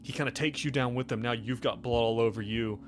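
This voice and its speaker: A man's voice: mid-range voice, steady tone, a little fast. A man improvising narration as the game master of a tabletop roleplaying game.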